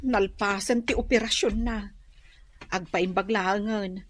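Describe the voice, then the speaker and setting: An actress with an Ilocano accent playing a blunt, worn wife and carer in a radio drama. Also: female voice, medium pitch, fairly even intonation, measured pace, a little hiss or hum, close to an everyday voice. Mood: grateful. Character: blunt, worn wife minding her hospitalized husband.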